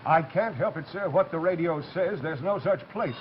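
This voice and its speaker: A man's voice: on the high side, normal speed, natural voice. Man playing an exasperated, curt police dispatcher. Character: exasperated state police dispatcher answering phones. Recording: muffled, noisy old TV soundtrack.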